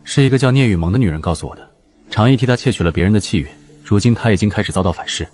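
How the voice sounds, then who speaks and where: A man plays a cool young man in a modern Chinese romance drama. His voice is fairly low with very lively pitch. He sounds composed, sardonic and sharp-tongued. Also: rapid-fire. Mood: serious. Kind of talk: Chinese audio drama voice acting.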